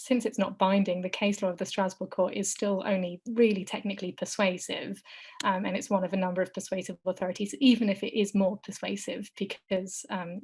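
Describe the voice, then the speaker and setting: A woman in her thirties with a hoarse, croaky voice, presenting as a law lecturer on a webinar call, brisk and wry with many fillers. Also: mid-range voice. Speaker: law lecturer, panel speaker. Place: home room. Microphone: laptop microphone on video call.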